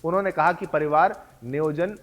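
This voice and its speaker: A man's voice: fairly high pitch, moderate pace. A man anchoring a news bulletin, reading stories in a combative, accusing manner with rhetorical questions. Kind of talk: studio news presentation.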